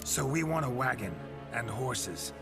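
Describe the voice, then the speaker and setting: A man playing a stoic, sardonic monster hunter in a fantasy video game, gravelly, terse and deadpan. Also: mid-range voice, a little slow. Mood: coolly threatening. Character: stoic, sardonic male monster hunter, hard-bitten mercenary. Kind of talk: cinematic game dialogue. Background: music.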